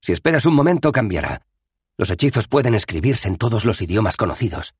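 A man reading an audiobook aloud, voicing a nervous, fussy male wizard in a raised, hurried, fretful voice. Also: fairly low pitch, big pitch swings, slightly rough, dry recording, pitched above the performer's own voice.

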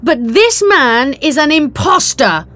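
Woman acting an earnest, high-pitched young heroine. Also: very animated, a little slow, heavily acted. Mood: indignant. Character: earnest, indignant young woman adventurer, polite but stubborn.

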